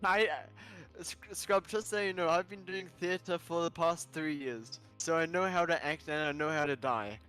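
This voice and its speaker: Man, slightly high voice, casual streamer, reading game narration aloud, faint music behind. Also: moderate pace, few pauses, fairly bright tone, clear, resonant tone.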